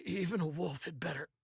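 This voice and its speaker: Tough mercenary lady, cool and menacing, super husky with gravelly fry. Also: lowish voice, heavily acted. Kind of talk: anime game trailer voice acting.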